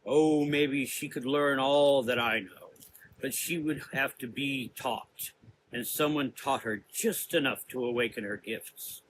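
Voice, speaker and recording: Guy doing a menacing gothic sorcerer for an audio drama, bright forceful voice, slow smug lecturing, noisy background. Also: mid-range voice, natural voice. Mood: threatening. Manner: deliberate, lecturing, drawn-out phrases. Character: menacing, cerebral male gothic sorcerer, taunting and sure of himself.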